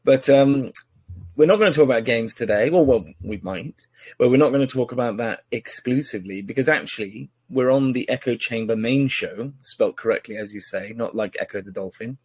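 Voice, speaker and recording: A man's voice: medium pitch, fairly quick, a little mellow, husky, no room sound. A man hosting a casual podcast interview, chatty and teasing, with many fillers and restarts. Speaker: podcast host.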